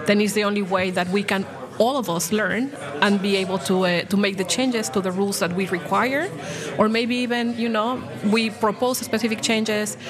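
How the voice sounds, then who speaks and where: A woman's voice: medium pitch, steady tone, moderate pace. Passionate woman guest, crowd murmur behind. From Latin America. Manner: passionate, many restarts and fillers. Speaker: bank blockchain program director, guest. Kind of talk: podcast interview.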